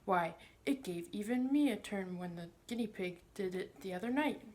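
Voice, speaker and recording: A woman's voice: mid-range voice, animated, moderate pace, darkish tone, a little hiss or hum, lightly acted. A woman voicing a pompous, sly older male magician in a storybook, smug and condescending, with a wheedling manner. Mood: sly, menacing.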